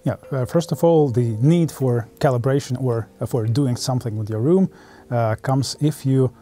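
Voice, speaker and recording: Male interview guest, 30s, dark relaxed tone, halting explanatory delivery. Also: mid-range voice, faint music behind. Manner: halting, choppy phrasing. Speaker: product manager, interview guest. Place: studio room with curtains and audio gear.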